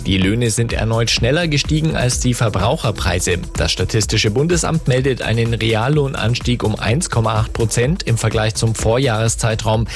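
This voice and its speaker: A man reads the hourly news on radio, formal and evenly measured. His voice sits in an ordinary middle pitch. A faint music bed plays beneath him. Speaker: newsreader. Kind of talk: read news bulletin.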